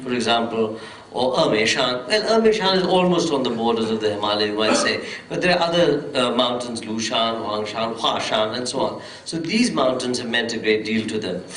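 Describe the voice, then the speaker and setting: A man's voice: medium pitch, fairly bright tone. Man on a festival panel, lots of ums and restarts, sounds far off in an echoey hall with a little hiss. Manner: rambling, full of hesitations and restarts. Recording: roomy distant hall mic with faint noise.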